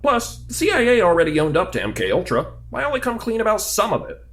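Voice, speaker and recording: A man's voice: sits high, wildly swooping pitch, quick pace, a bit bright, heavily acted. A man playing a curious, talkative new government agent in an audio drama, conversational, questioning and easily flustered. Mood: earnest, questioning.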